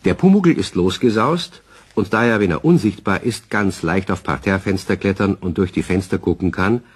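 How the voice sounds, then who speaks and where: A man's voice: sits low, normal speed, slightly rough. A man narrating a children's audio play in a scripted storytelling style.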